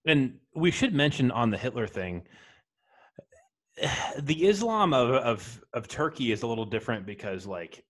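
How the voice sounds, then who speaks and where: A man's voice: medium pitch, big pitch swings, unhurried, fairly bright tone. A man hosting a podcast interview, talking conversationally, asking open questions and dropping jokey asides. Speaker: podcast host. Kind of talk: casual interview chat.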